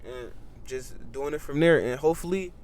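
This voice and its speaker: A man's voice: on the high side, normal speed, a little mellow. An eighteen-year-old man, talking animatedly in a casual podcast chat, tagging lines with "bro" and "you know what I mean".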